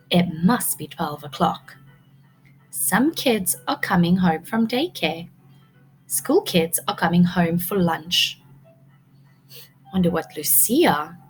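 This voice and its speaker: Slow-paced woman reading a storybook aloud. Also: fairly low pitch, faint music behind, poor audio. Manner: expressive, prompting listeners with questions. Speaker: early learning teacher reading a picture book aloud. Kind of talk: read-aloud storytime with questions to children.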